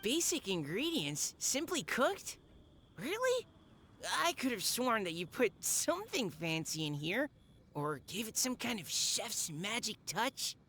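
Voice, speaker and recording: A woman's voice: on the high side, very animated, unhurried, fairly bright tone, a little hiss or hum. A woman voicing an earnest, sincere young knight who once cooked for an expedition.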